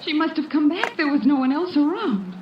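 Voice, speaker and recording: An actress playing a wounded, betrayed young wife in an old-time radio drama, earnest and melodramatic. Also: female voice, high-pitched, very animated, a little fast, dull audio. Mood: bewildered, tearful. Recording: noisy, distant, roomy old radio recording.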